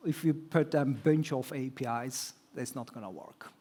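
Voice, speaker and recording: A man's voice: medium pitch, normal speed, dark tone. A man speaking as a guest on a conference stage panel, answering with frequent fillers, restarts and non-native phrasing. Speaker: telecom executive guest on panel.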